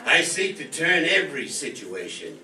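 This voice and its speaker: Man as a forceful, boastful, scheming tycoon. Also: fairly high pitch, sing-song, normal speed, heavily acted. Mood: smug. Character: smooth-talking, scheming male department store tycoon. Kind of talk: radio soap opera parody acting. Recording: distant echoey stage, faint noise.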